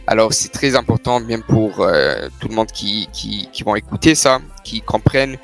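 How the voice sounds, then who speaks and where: A Canadian man, an immigration lawyer, explaining things in a measured way with frequent fillers. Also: on the low side, vocal fry, faint music behind. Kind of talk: casual interview answers.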